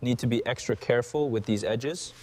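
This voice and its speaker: A man's voice: mid-range voice, fairly quick, noisy background. A man giving short instructions and remarks as part of a truck restoration team.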